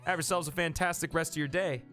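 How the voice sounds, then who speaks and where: A man's voice: fairly high pitch, fairly even intonation, fast, bright, forceful tone, soft background music. A man live-streaming a game, chatting casually and thanking viewers by name, a bit sniffly from a coming cold.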